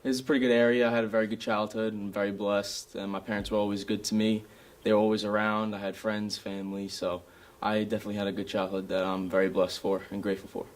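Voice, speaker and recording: A man's voice: lowish voice, level tone, fast. A young man from Staten Island, New York, a student giving casual interview answers. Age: young adult.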